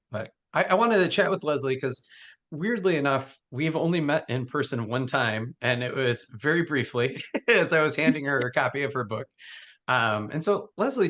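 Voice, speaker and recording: Male podcast host, upbeat and chatty, casual interview tone, ordinary middle pitch. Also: fairly bright tone. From Michigan. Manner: chatty, upbeat, frequent ums and laughs.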